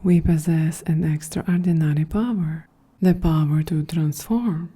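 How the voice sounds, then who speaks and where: A woman voicing a calm, quietly grandiose female android in a breathy, half-whispered, soft-spoken ASMR roleplay. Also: low-pitched. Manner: slow, measured, solemn delivery.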